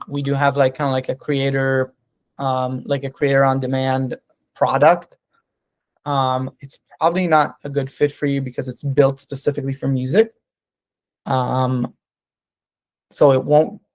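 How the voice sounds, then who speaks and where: A man's voice: medium pitch, darkish tone, dry recording. A man whose first language is Hebrew, speaking English on a casual business video call, hesitant with many ums and restarts. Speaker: sales representative on a business call. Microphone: computer mic on a video call.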